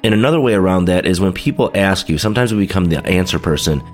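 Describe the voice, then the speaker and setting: Stuffy deep-voiced man chatting casually. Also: lively intonation, fast, soft background music. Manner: chatty, loose, lots of fillers. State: stuffy from a head cold. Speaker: podcast host. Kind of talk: casual solo podcast talk.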